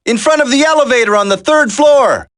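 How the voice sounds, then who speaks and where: A man's voice: very high-pitched, animated, brisk, no echo, studio quality. A man voicing an earnest special forces soldier in a video game, dramatic and urgent. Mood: businesslike. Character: earnest male special forces soldier, determined, worried for comrades.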